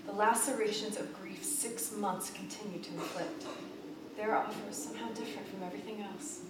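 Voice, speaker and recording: A woman's voice: medium pitch, steady tone, fairly quick. A woman giving a dramatic, poetic scripted story narration live on stage. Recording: distant, echoey, noisy live theater recording.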